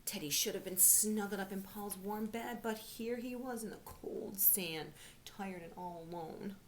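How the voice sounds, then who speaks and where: Female teacher-storyteller, mid-pitched, expressive and hushed for young children; faint background noise. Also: a little slow. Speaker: teacher reading aloud to children.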